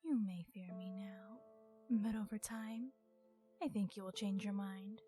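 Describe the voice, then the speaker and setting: A woman voicing a centuries-old vampire in an ASMR roleplay, stern, irritated and possessive, with a measured, formal and often hushed delivery. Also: medium pitch, steady tone, soft background music, close to an everyday voice. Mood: stern, composed.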